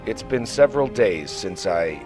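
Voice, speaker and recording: An actor playing a self-assured starship captain recording a log in a science fiction audio drama, measured and dryly wry. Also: male voice, lowish voice, expressive, over music, natural voice. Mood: wry, composed.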